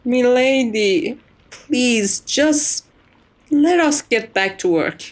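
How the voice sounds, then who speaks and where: A woman's voice: a little high, expressive, extremely slow. A woman playing a composed, strict but fond chief maid in an ASMR roleplay, speaking formally and with polite condescension. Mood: firm, polite.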